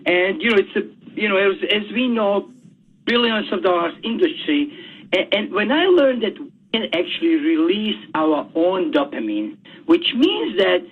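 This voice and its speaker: A man, a guest author speaking in a radio interview by phone, halting and often repeating words as he searches for them. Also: sits high, big pitch swings, breathy edge. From Bosnia. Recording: tinny phone line.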